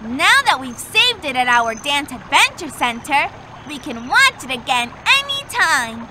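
A woman's voice: sits high, big pitch swings, unhurried, very noisy. A woman voicing a cheerful young girl explorer in a children's video game, talking to the player and encouraging them. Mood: guiding.

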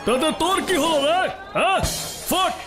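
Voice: extremely high pitch, normal speed. A performer voicing an aggressive, swaggering bully in a comedy cartoon, barking taunts and threats. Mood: menacing. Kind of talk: Bengali comedy cartoon voice acting. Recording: music under the voice.

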